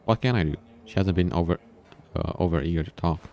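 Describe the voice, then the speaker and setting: A man reading the lines of a wry, defiant high-school boy, the hero of a visual novel. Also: fast talker, warm tone, close to an everyday voice. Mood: glum, wry.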